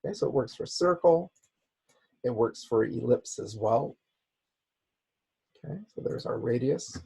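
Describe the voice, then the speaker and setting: A man with a raspy, hoarse voice, as he is losing it, teaching a software class with many "okay"s. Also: medium pitch, expressive, measured pace. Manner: repeats "okay" as a filler. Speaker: instructor. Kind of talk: software tutorial lecture.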